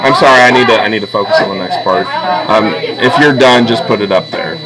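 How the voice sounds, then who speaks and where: A man's voice: lowish voice, brisk, a loud crowd, poor audio. A man teaching a drawing class in an informal, encouraging way, instructing students as he demonstrates. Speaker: art teacher. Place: busy school classroom. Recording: classroom recording with students chattering behind.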